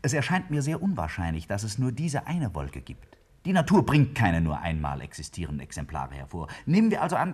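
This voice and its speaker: A man's voice: mid-range voice, a little mellow, husky. A man playing a brilliant, self-assured scientist in a 1950s German radio drama, lecturing emphatically and brusquely. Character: brilliant, self-assured male scientist explaining a daring theory. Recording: vintage 1950s radio play recording.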